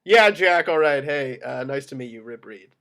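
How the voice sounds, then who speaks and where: A man's voice: medium pitch, animated, moderate pace, vocal fry, a bit bright. Man playing jittery, half-drunk lawyer. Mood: jittery greeting. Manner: rambling, stammering. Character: self-deprecating, jittery mid-forties showbiz mob attorney, half drunk. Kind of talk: amateur screenplay table read.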